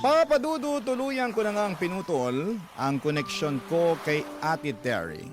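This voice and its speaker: A man's voice: high-pitched, animated, measured pace, noisy background. An actor playing a troubled young Filipino man who tells his life story as radio drama narration. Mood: reflective. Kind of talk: first-person scripted narration. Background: background music.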